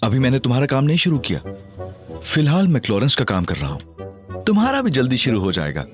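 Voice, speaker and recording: A man's voice: medium pitch, a little fast. An actor playing a cocky young male detective who feigns foolishness, narrating in first person in an audio drama. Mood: teasing. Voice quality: lively, teasing and babbling. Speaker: narrator and lead character. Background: music score.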